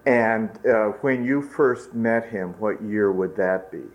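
A man conducting an oral history interview, introducing it formally and asking measured questions. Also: fairly low pitch, low-quality recording.

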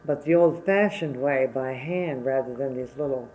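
A woman's voice: extremely low pitch, moderate pace, warm tone, dull audio. A woman in her seventies from Wisconsin whose first language was Czech, recalling her working life plainly and unhurriedly in an oral history interview. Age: 70s.

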